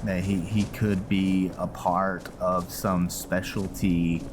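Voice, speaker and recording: Low-voiced, slow-talking man playing a game. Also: level tone, background noise. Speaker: gaming video host. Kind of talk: casual gameplay roleplay commentary. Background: game music.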